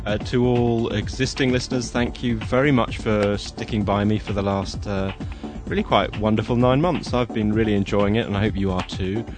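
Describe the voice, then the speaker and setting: A man's voice: on the low side, fairly even intonation, moderate pace, over music. A man hosting a podcast, talking in a chatty, casual way with lots of fillers and restarts. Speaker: podcast host.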